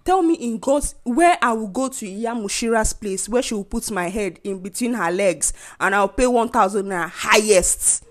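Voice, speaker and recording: A young woman in her twenties with a Nigerian accent, hosting a solo podcast and venting in a casual, animated way about rude remarks and being overcharged. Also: medium pitch, moderate pace, hardly any pauses. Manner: animated, indignant storytelling with mocking quoted voices. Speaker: podcast host.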